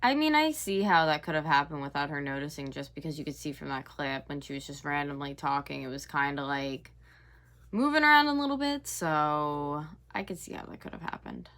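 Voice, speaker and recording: Chatty twenty-something woman doing a reaction vlog, lowish voice, lots of "like". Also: lively intonation, fairly quick, fairly bright tone. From North America. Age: 20s. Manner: chatty and gossipy, heavy use of "like". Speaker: YouTube host reacting to clips. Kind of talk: casual reaction commentary.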